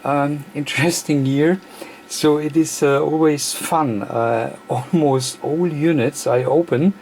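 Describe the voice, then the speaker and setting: A man's voice: mid-range voice, darkish tone, a little hiss or hum. A man who is not a native English speaker, giving an unscripted hands-on technical demonstration in short, hesitant chunks. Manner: halting, hesitant phrasing in short broken chunks. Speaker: host of electronics repair demonstrations. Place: indoor electronics workbench.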